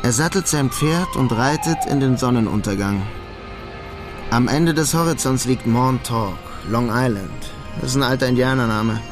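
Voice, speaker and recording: A man's voice: medium pitch, moderate pace, close to an everyday voice. Radio drama lead: man playing young melancholy German émigré painter, mid-range, understated, wry, music underneath. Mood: brooding. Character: melancholy young German emigrant painter adrift in New York. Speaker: protagonist and narrator of a radio crime drama. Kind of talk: German radio drama, introspective narration and dialogue.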